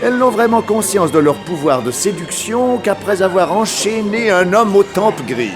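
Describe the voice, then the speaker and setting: An actor playing an elderly English lord, a courtly, wry and teasing old charmer in a 1960s French radio play. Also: male voice, sits high, very animated, moderate pace. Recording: old radio drama with dance music and crowd.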